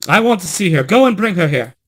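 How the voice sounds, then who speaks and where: A man's voice: high voice, animated, quick pace, voice pushed up. A man voicing a stern, commanding prince in a fairy tale, speaking in formal, archaic phrases with insistence. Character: king's son, stern, commanding young bridegroom.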